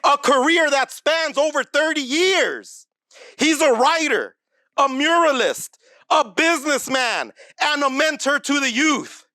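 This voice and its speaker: Male host with a bright, sharp tone and a formal, announcer-like manner; dry, close studio sound. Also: very high-pitched, big pitch swings, measured pace, no room sound. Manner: formal announcer-style introductions. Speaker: show host. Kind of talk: scripted intro and interview questions.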